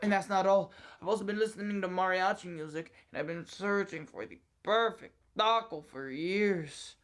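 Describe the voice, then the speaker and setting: A man's voice: high-pitched, moderate pace, fairly bright tone. A man playing an eager office worker who theatrically puts on a Mexican accent. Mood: showy, cocky. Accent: put-on Mexican.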